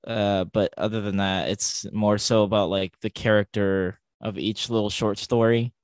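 Low-voiced man chatting about film. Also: level tone, normal speed, no room sound, studio quality. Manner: hesitant, thinking aloud, self-correcting. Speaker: podcast co-host. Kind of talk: casual film discussion.

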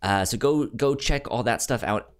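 A man hosting a podcast, chatting casually about a film in a halting, stammering way that often repeats words. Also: medium pitch, smooth. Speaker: podcast host.

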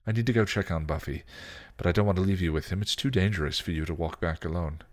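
A man's voice: sits low, fast, lightly acted. A stern, exasperated protector in a storybook reading, acted out by a man. He speaks in a firm, formal way, scolding and emphatic, with principled patience wearing thin. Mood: exasperated, worried.

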